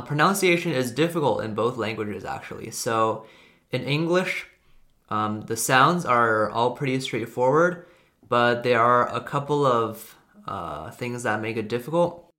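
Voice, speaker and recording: A young man whose first language is Chinese, speaking with a bright, ringing tone. He talks to his viewers in a relaxed, chatty way, pausing and restarting his sentences as he thinks. The delivery is unscripted and friendly. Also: medium pitch. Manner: relaxed, rambling, self-correcting. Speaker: language video host. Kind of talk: casual talk to camera.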